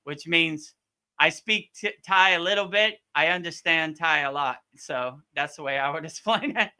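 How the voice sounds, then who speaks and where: A man with a strikingly bright, forward voice and a clean, smooth tone, pitched a little high. He talks informally as a teacher taking questions live, often circling back to restate what he has said. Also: moderate pace. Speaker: yoga teacher hosting a live Q&A. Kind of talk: casual live Q&A chat.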